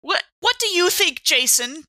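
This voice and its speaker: A woman's voice: very high-pitched, sing-song, measured pace, bright, forceful tone, dry recording, studio quality, pitched above the performer's own voice, heavily acted. A woman playing a cranky, flippant, exasperated woman in a tabletop role-playing game. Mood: indignant, shocked. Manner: flippant, grumbling.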